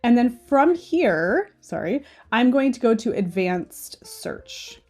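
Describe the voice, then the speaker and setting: Woman knitting host, stuffy-nosed and nasal, chatty, opinionated, mellow tone. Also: a little high, very animated, takes it slowly, faint music behind. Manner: chatty and opinionated, often asking "okay?". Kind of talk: casual screen-share commentary.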